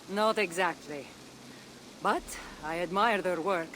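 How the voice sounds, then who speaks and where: A woman's voice: fairly low pitch, fairly bright tone, poor audio. A woman playing a confident, well-travelled ancient Greek warrior in a video game, with a Greek accent and a wry, teasing manner. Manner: wry, teasing, self-assured. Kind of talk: dramatic video game cutscene acting. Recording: clear ambient noise, rain-like.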